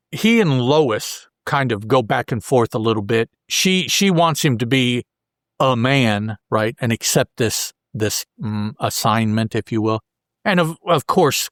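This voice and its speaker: A man's voice: medium pitch, dry recording, studio quality. A man hosting a podcast, recapping a comic book in a casual, colloquial way with joking asides. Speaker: podcast host.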